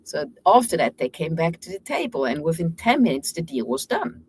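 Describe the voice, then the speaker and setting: A woman's voice: deep voice, big pitch swings, a little fast, husky. German-speaking woman, frank storyteller. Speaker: guest lawyer. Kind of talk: casual interview talk.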